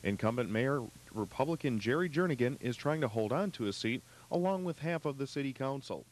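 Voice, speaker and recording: A man's voice: medium pitch, animated, a little hiss or hum. Young man reading radio news. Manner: measured newsreader delivery. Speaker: radio newscaster. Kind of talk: local news bulletin. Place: small radio studio. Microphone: boom mic with headphones.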